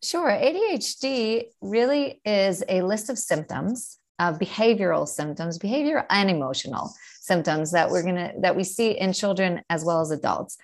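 A woman's voice: on the low side, expressive, normal speed, few pauses. A woman in her forties with a New York accent, a guest explaining her work with confident, list-like answers in a podcast interview. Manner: confident, explanatory, speaks in long lists. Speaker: guest, educator and author.

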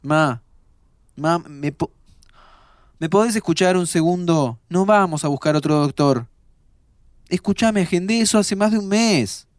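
A man's voice: high voice, a little slow, pauses often, no echo, clean studio sound. A man playing a sardonic, restless young Argentine man in an audio drama. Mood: exasperated, pleading. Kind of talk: audio drama acting, muttering to himself.

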